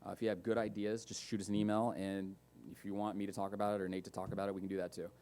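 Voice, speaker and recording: A man's voice: deep voice, racing. A man speaking at a developer conference, talking casually about speech recognition and taking audience questions, with many fillers and asides. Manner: relaxed, lots of fillers and asides. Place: conference room.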